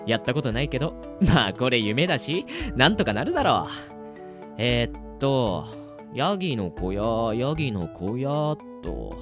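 Man acting animated, slangy bewildered boy. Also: mid-range voice, a little slow, music in the background. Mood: musing. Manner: casual slangy muttering to himself, exaggerated reactions. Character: slangy cheeky boy, bewildered at waking as Peter. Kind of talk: hobby radio drama acting with self-talk monologue.

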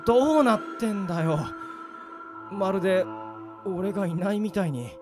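A man voicing a bewildered young office worker who finds no one can see him, talking in rough casual speech, in an amateur radio drama. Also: high voice, normal speed, over music, close to an everyday voice. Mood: panicked.

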